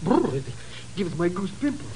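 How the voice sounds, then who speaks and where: A man playing an excitable, nervous comic sidekick with a jittery, exclaiming manner in a 1950s radio drama. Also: high voice, normal speed. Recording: noisy, humming vintage radio recording.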